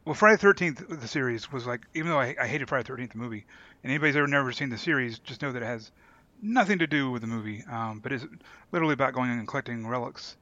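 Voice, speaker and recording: A man's voice: medium pitch, animated, very fast, bright, forceful tone, no room sound, highs cut off. A man co-hosting a podcast, chatting casually with the others. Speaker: podcast co-host. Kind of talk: casual group chat.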